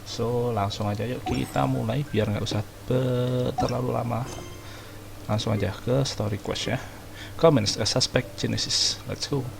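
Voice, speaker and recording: A man's voice: mid-range voice, steady tone, normal speed, gravelly, background noise. A young man with an Indonesian accent giving casual, slangy let's play commentary. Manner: informal, slangy. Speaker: gaming YouTuber.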